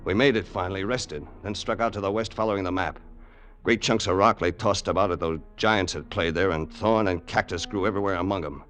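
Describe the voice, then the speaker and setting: Deferential desert outlaw and grim narrator. Low voice, old-time radio suspense, music behind. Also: male voice, fairly even intonation, a little fast, a bit bright. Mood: grim, measured. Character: male outlaw gang member narrating a desert treasure hunt.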